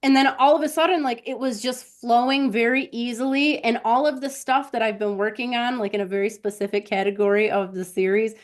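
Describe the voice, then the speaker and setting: A woman's voice: a little high, steady tone, fairly quick, hardly any pauses. A woman hosting a live stream, telling personal stories in a casual, conversational way and talking directly to her listeners.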